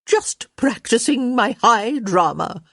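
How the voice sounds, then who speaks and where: A woman voicing an elderly countess, sharp, theatrical and blunt, in a story reading. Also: medium pitch, sing-song, unhurried, slightly rough, no room sound, clean studio sound, voice pushed up, full character voice. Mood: huffy, theatrical.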